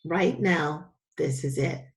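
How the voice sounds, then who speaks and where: A woman reader voicing a caring, weary but steady mother in a children's story, gentle and reassuring. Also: low-pitched, slow pace. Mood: weary, careful.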